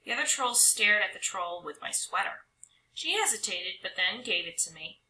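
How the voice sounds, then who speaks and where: A woman narrates a children's story with lots of drama. Her voice is very bright and swoops expressively in pitch, and the room adds a clear echo. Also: animated, normal speed. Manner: dramatic, with stretched words and hushed drops. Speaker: storybook reader. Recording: roomy echo.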